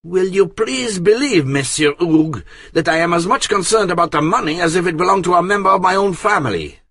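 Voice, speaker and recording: Man doing young italian gent, italian accent, firm, high-toned, storybook. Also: moderate pace, fairly bright tone, husky, no room sound. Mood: indignant. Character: young self-assured Italian man, authoritative.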